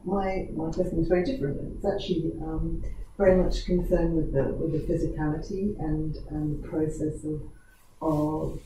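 An audience member asking a long question, halting and searching for words. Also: medium pitch, level tone. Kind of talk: question from the floor. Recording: very echoey room from far off, noise.

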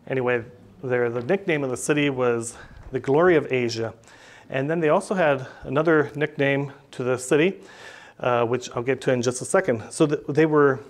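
A middle-aged man, preaching a teaching sermon at a podium in a small church and speaking plainly with many "uh" and "um" fillers. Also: mid-range voice. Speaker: pastor. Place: small church room, indoors. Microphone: podium microphone.

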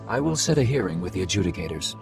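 A grave alien mystic, whose low voice grinds with heavy gravel as he speaks in formal, ceremonial phrases. Game music plays clearly under him. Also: very animated, quick pace, exaggerated voice. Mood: solemn. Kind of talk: video game voice acting.

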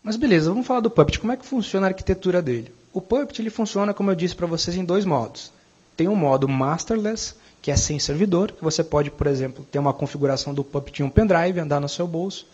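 A man with a Brazilian Portuguese accent giving a conversational conference tech talk, often checking in with the audience with quick tag questions. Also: high-pitched, a little mellow, breathy edge. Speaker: technical speaker. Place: conference room. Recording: dull lo-fi conference recording.

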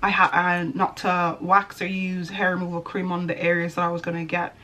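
A woman's voice: on the low side, monotone, brisk, bright, forceful tone, faint music behind. A young woman chatting to camera in a small bedroom, rambling and often correcting herself. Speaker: beauty vlogger. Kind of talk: casual chat to camera. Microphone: camera in front at desk distance.

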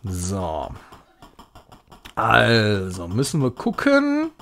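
A man's voice: lowish voice, huge pitch swings, drawn-out words, gravelly, a bit bright. A man giving casual live game commentary as a streamer, thinking aloud in short muttered phrases. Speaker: streamer playing a retro puzzle game.

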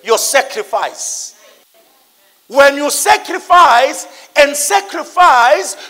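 A man's voice: extremely high pitch, a bit bright. A middle-aged South African man preaching a sermon in an emphatic, repetitive, declamatory manner in a church hall.

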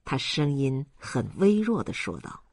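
Expressive male audiobook narrator. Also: mid-range voice, unhurried, vocal fry, no room sound, studio quality. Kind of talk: dramatic scripted novel narration.

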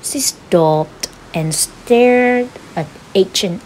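A woman reading a children's picture book aloud in an expressive storytelling style. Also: mid-range voice, extremely slow, slight background noise, low-quality recording. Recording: clear music behind the voice. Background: background music.

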